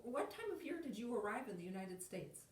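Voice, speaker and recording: A woman's voice: fairly high pitch, very animated, fairly quick, recorded from a distance. A woman interviewing an older man, pressing him with follow-up questions. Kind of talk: oral history interview questions. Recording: roomy, from farther off the mic.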